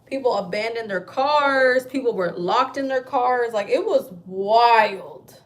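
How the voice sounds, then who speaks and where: Rambling, foul-mouthed woman, slightly high voice. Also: takes it slowly. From Chile. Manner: rambling, heavy swearing. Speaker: livestreamer. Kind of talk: casual livestream chat. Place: at home, indoors.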